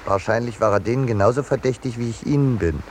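An actor playing a wry, peace-loving landscape painter who narrates drily in a 1950s German radio play. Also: male voice, medium pitch, fast talker, a little hiss or hum, lightly acted. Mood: indignant, bemused. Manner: dry, ironic, composed, articulate. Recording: 1950s radio drama production.

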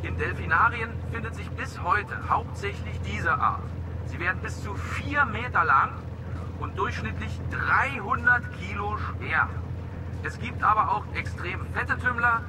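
High-voiced cheeky tour guide, noisy. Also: male voice, lively intonation, measured pace. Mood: cheerful lecturing. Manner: lecturing, cheeky. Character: jokey male dolphin boat tour guide. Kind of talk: rehearsed tourist commentary in radio drama. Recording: noisy outdoor boat-tour ambience.

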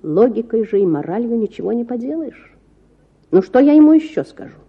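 A woman's voice: a little high, big pitch swings, a little fast, slightly rough, pitched above the performer's own voice, full character voice. Woman as middle-aged father, cultured prosecutor, perplexed, musing, self-doubting, storybook reading, slightly muffled. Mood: pensive.

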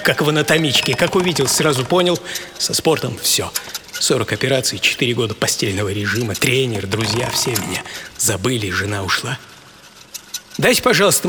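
Актёр играет упорного чемпиона по прыжкам в высоту, театрально, с драматическими паузами рассказывающего о себе в радиоспектакле. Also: male voice, medium pitch, background noise, natural voice. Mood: bitter, subdued. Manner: theatrical, with dramatic pauses. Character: champion high jumper man reliving triumph and injury, intense, defiant. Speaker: actor and author of the programme. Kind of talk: dramatic first-person radio monologue. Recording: studio voice over prominent music.

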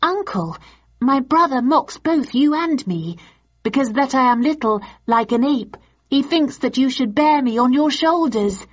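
A small royal boy on stage, voiced by a woman narrator, speaks old verse in a high, teasing voice. The recording is slightly dull. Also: expressive, unhurried. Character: young boy duke, a little royal nephew, teasing. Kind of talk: Shakespearean verse acting.

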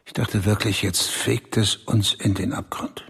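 A man's voice: on the low side, fairly even intonation, measured pace, gravelly, fairly bright tone, breathy edge, heavily acted. A man in a radio drama playing a self-satisfied bank chief who speechifies. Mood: smug. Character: smug male bank chief, proud self-made man.

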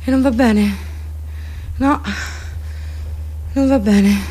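A woman's voice: a little high, drawn-out words, warm tone. A woman with a Roman Italian accent performs a theatrical comic monologue, ranting and circling obsessively back over her phrases.

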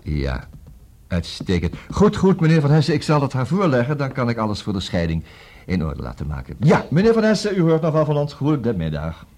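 Busy lawyer, 1960s radio comedy, Netherlands Dutch accent, wry, formal, highly animated intonation, slightly rough voice. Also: male voice, fairly quick. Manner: formal, fussy, hesitant interjections and repeated words. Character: busy male divorce lawyer, brisk, wry, smooth-talking.

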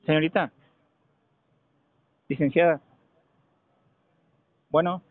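A man with a Mexican accent argues his case over a narrow telephone line. His voice sits a little high. He presses his point with pointed questions, halting and then repeating himself for emphasis. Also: steady tone, no room sound. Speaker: caller. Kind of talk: phone complaint, argumentative questioning. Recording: narrow, tinny telephone call recording.

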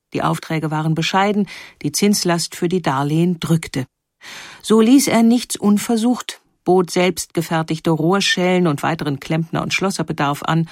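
A woman reading scripted documentary narration in a measured, explanatory way. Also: deep voice, dry recording, studio quality.

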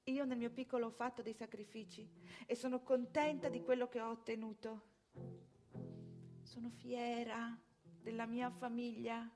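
A woman's voice: on the high side, little pitch movement, moderate pace, darkish tone, soft background music, lightly acted. An actress playing a proud, anxious, self-righteous married mother in an opinionated theatrical monologue full of rhetorical questions. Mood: earnest, proud.

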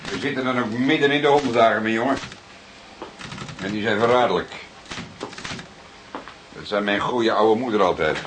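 An actor with a Dutch accent playing a seasoned, fatherly police detective who speaks deliberately and ponderously in a radio play. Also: lowish voice, natural voice. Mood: brooding, reflective. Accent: Dutch, from the Netherlands. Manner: deliberate, repeats others' words questioningly. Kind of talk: radio drama acting. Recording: noisy radio play with clicks.

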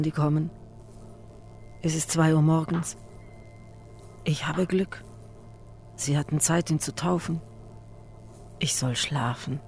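A woman's voice: low-pitched, a little mellow. An actress in a German radio drama playing a bereaved young mother, insistent and bitter, speaking in terse, intense phrases. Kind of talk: German radio drama, interior monologue and dialogue.

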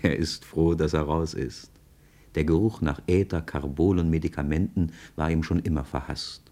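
A man's voice: very deep voice, fairly even intonation, normal speed, mellow. An actor playing a knowing male narrator in a radio play, conversational and wry as he follows his hero. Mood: confiding. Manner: conversational, wry, present-tense commentary. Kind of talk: scripted radio drama narration.

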